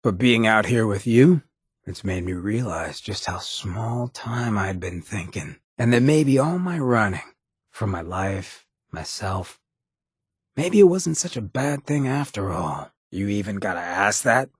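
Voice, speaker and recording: An actor playing a roguish, sardonic but loyal ghoul outlaw in a video game, with a gravelly rasp and a cocky, laid-back street drawl. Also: male voice, fairly low pitch, expressive, a little slow, dry recording, studio quality. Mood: cool, sardonic. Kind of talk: video game companion dialogue.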